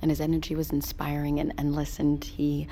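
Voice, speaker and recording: A woman submersible pilot, new aboard and keeping her guard up. Her voice sits low, and she talks plainly and casually with small hesitant pauses, as in a naturalistic audio drama. There is faint room ambience behind her. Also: completely flat delivery, dark tone, a little hiss or hum. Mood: subdued, grieving. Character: guarded, earnest young woman submersible pilot, newcomer to crew.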